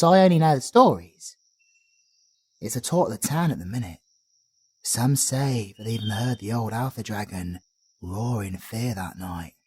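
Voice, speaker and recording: A man's voice: mid-range voice, darkish tone, slightly rough. A young man with a British accent, voicing a friendly, chatty male traveler companion who rambles and uses matey slang in an audio roleplay.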